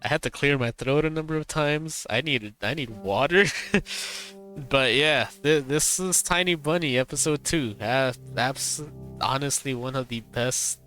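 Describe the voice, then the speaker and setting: A male streamer reading a visual novel's dialogue aloud, his voice tired and strained, halting and repeating words between laughs. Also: medium pitch, sharp tone, soft background music. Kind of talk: reading game dialogue aloud, then casual chat.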